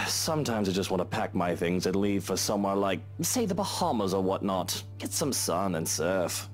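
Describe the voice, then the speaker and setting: A man's voice: sits low, very animated, normal speed, soft background music. A man playing a casual, flirtatious, wealthy lord of a mansion in a visual novel game, with an informal, breezy manner. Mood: breezy, chatty.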